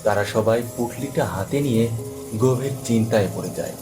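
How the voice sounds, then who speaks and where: A man's voice: medium pitch, normal speed. A man reading scripted narration for a horror story. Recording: music behind the voice.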